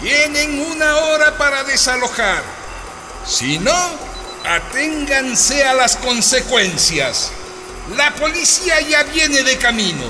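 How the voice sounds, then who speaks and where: A man's voice: extremely high pitch, sing-song, takes it slowly, background noise, full character voice. An actor playing an arrogant, bullying male cattle company boss, imperious and officious in a radio drama. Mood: threatening.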